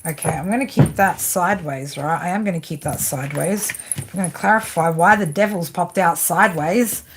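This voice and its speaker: A woman's voice: on the low side, brisk. Australian woman, tarot reader on a livestream, casual swearing, lots of fillers, audible background noise. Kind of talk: live-stream tarot reading.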